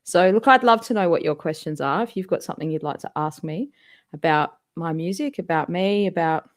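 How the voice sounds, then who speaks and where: Western Australian woman, musician hosting a live fan chat from home, slightly low voice, loose and laughing, lots of fillers. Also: brisk. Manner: chatty and rambling, laughs often, many ums. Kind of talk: casual live-stream chat. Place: home, indoors. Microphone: desktop microphone at a computer.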